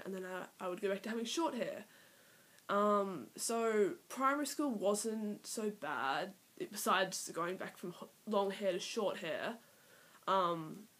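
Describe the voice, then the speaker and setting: A woman's voice: mid-range voice, a bit bright. A nineteen-year-old Australian woman talking nervously to her camera in a first personal vlog, rambling with many fillers and sometimes trailing into a near-whisper. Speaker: vlogger. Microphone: iPad built-in mic.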